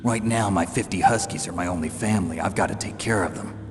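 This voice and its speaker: A man voices a hard-bitten ex-soldier for a video game, very low and gruff. He talks in a terse, sardonic, guarded way, with a long reverb around the voice. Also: fast, natural voice. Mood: reluctant, sardonic. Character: gruff, cynical male retired special forces soldier. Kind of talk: dramatic video game voice acting.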